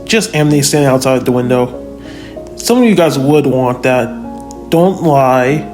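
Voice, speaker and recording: A man's voice: mid-range voice, measured pace, darkish tone. A man giving informal analytical video commentary, talking straight to viewers in a conversational way with rhetorical questions. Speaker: video narrator, fan power-scaling reviewer. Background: background music.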